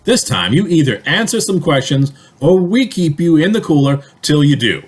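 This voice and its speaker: Radio-play cop, gruff and bossy, raspy voice with very lively intonation man acting. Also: fairly high pitch, normal speed. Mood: gruff, wary. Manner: barking, blunt, colloquial. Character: gruff, bossy police sergeant, tough and plain-spoken. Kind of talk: amateur old-time radio comedy acting.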